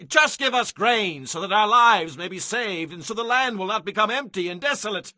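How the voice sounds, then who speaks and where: A desperate Egyptian villager in a scripture drama. The voice is extremely high and sharp, pleading with urgency like someone starving. The recording is dry. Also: male voice, expressive, normal speed, no room sound, heavily acted.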